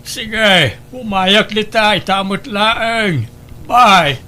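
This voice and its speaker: A man's voice: high voice, extremely slow, bright, forceful tone, slight background noise, full character voice. A man playing a worried middle-aged father in a radio drama, urgent and defensive. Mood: shocked, urgent. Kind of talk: Ilocano radio drama acting.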